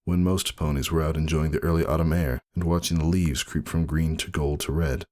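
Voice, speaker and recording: A man giving a dramatic first-person story reading as narrator, husky and brooding in manner. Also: very deep voice, steady tone, a little fast, a little mellow. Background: faint music.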